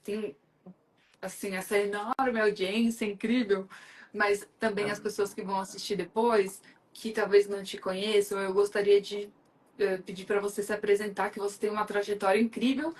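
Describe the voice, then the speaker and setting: Brazilian woman hosting a live chat, excited and chatty, lots of filler words. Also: mid-range voice, moderate pace, low-quality recording. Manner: chatty, enthusiastic, many fillers. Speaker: live stream host.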